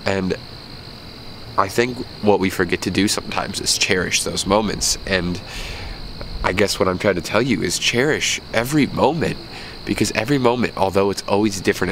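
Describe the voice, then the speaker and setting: Stuffy-nosed guy in his 20s, low voice, vlogging outside at night, faint crickets behind. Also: fairly bright tone, a little hiss or hum, rough recording. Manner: reflective, earnest, rambling with restarts. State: stuffed-up nose. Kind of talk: casual vlog talk to camera. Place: outdoors at night by a building. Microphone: handheld camera at arm's length.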